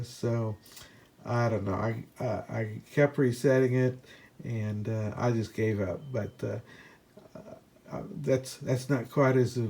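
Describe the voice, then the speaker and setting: Man giving a halting, casual product review. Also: mid-range voice, dark tone. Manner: hesitant, halting, unscripted delivery. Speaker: product reviewer and ham radio hobbyist.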